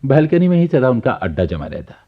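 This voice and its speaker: A man's voice: mid-range voice, sing-song, a little fast. A man giving scripted storytelling narration in a radio play. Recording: thin phone-like archival radio recording.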